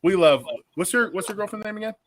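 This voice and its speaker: A man's voice: high-pitched, quick pace, dry recording. An American man hosting a football fan show with casual, slangy banter, repeating phrases for emphasis. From Philadelphia and South Jersey, US.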